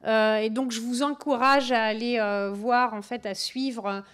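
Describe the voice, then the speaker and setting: A woman's voice: fairly high pitch, fairly even intonation, a little slow, a bit bright, clean tone. A woman giving a conference presentation, hesitant, with many fillers and restarts.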